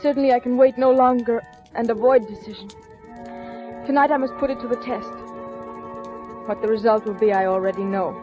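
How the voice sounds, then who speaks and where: An actress playing an earnest, troubled mentalist's wife narrating in a 1940s radio drama, on a muffled old recording. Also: female voice, a little high, brisk, pauses often, music in the background, natural voice. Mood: brooding, anxious.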